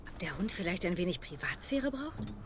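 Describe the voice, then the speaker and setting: A woman's voice: fairly low pitch, big pitch swings, a little fast, slight background noise. An actress playing a curious female elf companion in a fantasy game, with a questioning manner.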